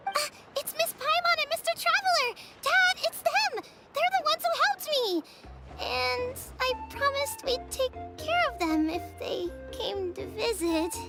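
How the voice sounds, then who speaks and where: A woman's voice: very high-pitched, animated, unhurried, full character voice. A woman voicing an earnest, plucky young daughter in a video game. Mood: earnest, pleading. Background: childlike tinkling music.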